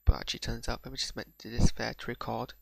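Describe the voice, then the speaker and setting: A man's voice: mid-range voice, completely flat delivery, fast talker, vocal fry, dry recording. A young man whose accent mixes Bradford and Pakistani speech, giving a hesitant, unscripted reaction review and often repeating words as he gathers his thoughts. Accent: mixed Bradford and Pakistani. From England. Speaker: video reviewer.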